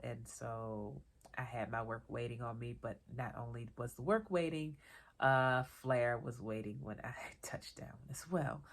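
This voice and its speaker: A woman vlogger in casual solo chat, talking in a relaxed, chatty, wandering way, a little tired and congested from a cold. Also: very deep voice. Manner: chatty, relaxed, digressive with small laughs. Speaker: vlogger and author.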